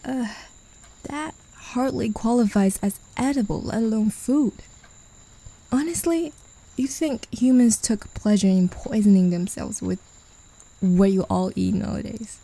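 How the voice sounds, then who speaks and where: Woman playing a possessive demon, ASMR roleplay, gravelly, dark, husky, teasing whispers, condescending. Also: a little high, measured pace, poor audio. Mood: domineering. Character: possessive, mocking female demon claiming a human. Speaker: voice actor.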